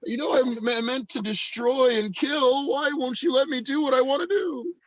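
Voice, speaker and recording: A man's voice: extremely high pitch, normal speed, a bit bright, dry recording, pitched above the performer's own voice. A man voicing an exasperated, indestructible cyborg brute who whines indignantly about menial chores, in an improvised podcast bit. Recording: dull, lo-fi, dry audio.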